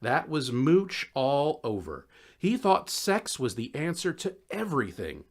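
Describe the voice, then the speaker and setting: A man reading a scripted first-person story in a wry, conversational, expressive way. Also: on the high side, unhurried. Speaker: audiobook narrator.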